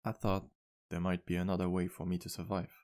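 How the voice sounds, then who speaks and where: A man playing a charming, modest vampire bartender in an intimate ASMR roleplay, speaking gently with courteous, slightly formal phrasing. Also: low voice, level tone, brisk, lightly acted. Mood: somber, remorseful. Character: charming, polite, modest male vampire bartender with guilty past. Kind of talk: ASMR roleplay, intimate one-sided dialogue.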